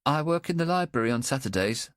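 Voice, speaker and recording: A man voicing an anxious, simple-minded young boy in an audiobook, talking in a halting, earnest way. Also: mid-range voice, expressive, no echo, clean studio sound, voice pushed up, close to an everyday voice. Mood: earnest chatter. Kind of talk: audiobook character voice.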